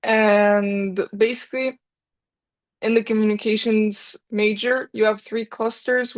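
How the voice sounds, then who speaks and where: A young woman from Bulgaria, a senior student, explaining her major at a university event, chatty and sometimes searching for words. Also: mid-range voice. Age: young adult. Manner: chatty, loses her words now and then. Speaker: student speaker. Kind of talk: informational talk at a university event.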